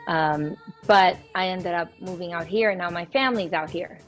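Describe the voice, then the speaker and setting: Woman, young adult, from Israel, low voice, warm, proud, flowing answers, faint music behind. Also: normal speed. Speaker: guest singer. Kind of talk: casual interview chat.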